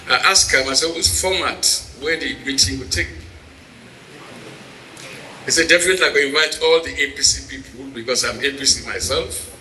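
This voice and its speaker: Sharp, forceful Sierra Leonean man, echoing. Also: fairly high pitch. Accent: Sierra Leonean Krio. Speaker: meeting moderator. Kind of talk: informal welcome speech to a gathering. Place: hall at a town hall meeting. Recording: echoey hall with background noise.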